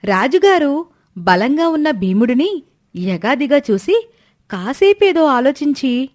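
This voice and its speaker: A woman's voice: high voice, big pitch swings, takes it slowly. A woman narrating a scripted children's moral story.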